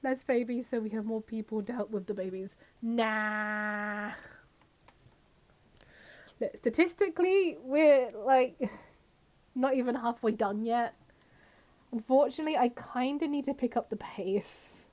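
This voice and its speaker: A woman's voice: on the high side, a little slow, long pauses, a little mellow. A woman with a slightly scratchy voice from a cold, chatting casually as she live-streams a game to viewers and talks to its characters. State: has a cold.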